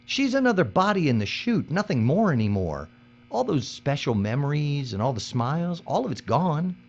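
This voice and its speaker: An actor playing a hard-nosed, pragmatic captive worker in an audio drama, terse and urgent. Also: male voice, on the high side, very animated, normal speed, darkish tone. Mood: stern. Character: hard-nosed, pragmatic male captive worker keeping his partner alive. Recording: dull, muffled recording.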